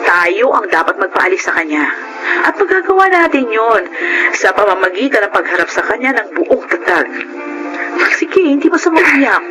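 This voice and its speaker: Woman doing a lively, encouraging friend in a radio drama, music behind. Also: medium pitch, moderate pace, lightly acted, rough recording. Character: supportive friend Jonah, firm and cheerful. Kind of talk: Filipino radio drama acting.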